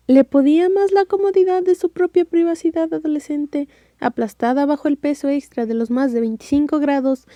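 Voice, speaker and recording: A woman's voice: sits high, dark tone, dry recording. A woman with a Mexican accent narrating an audiobook story in a wry, scripted style.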